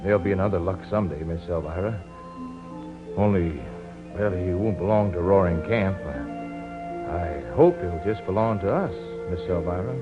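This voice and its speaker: A man's voice: low-pitched, moderate pace, natural voice. An actor playing a humble, tender frontier man courting a woman in a 1950s radio drama. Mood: tender, hopeful. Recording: muffled 1950s radio broadcast with music.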